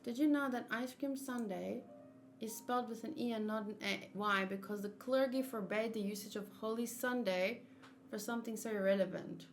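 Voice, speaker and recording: A woman's voice: on the high side, normal speed, soft background music. A woman with a South African accent chatting casually on a game livestream from home. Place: room at home used for streaming.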